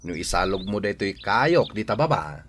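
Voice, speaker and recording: A man's voice: lowish voice, big pitch swings, moderate pace, fairly bright tone, a little hiss or hum. An actor with a Filipino accent playing a brash, teasing young village man in an audio drama, taunting and exclamatory. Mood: teasing, gruff. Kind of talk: Ilocano audio drama acting.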